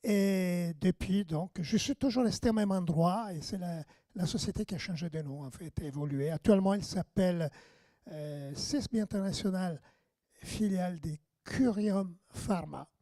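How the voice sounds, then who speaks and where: A man, giving a hoarse, halting conference lecture on radiochemistry. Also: high voice, warm tone, dry recording. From Italy. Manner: halting, many fillers and restarts. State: hoarse, lost his voice.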